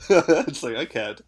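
A man from Canada co-hosting a casual podcast, chatty and quick to laugh, his voice stuffed up from a cold. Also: on the high side, a little mellow, a little hiss or hum. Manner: chatty, laughs often, rambling with fillers. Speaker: podcast host.

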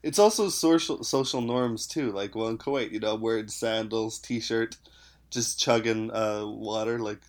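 A man's voice: lowish voice, a little slow. A man from Canada talks in an easygoing podcast chat, rambling through fillers and laughing often. He has a head cold, and his voice sounds stuffed up and nasal. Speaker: podcast host.

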